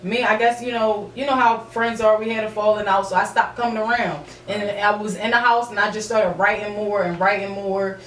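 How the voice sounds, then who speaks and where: A young woman chatting casually as a podcast guest, animated and slangy, often checking that the listener follows. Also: medium pitch, fairly quick, few pauses, a little hiss or hum. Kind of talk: casual chat, storytelling. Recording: roomy echo, distant mic.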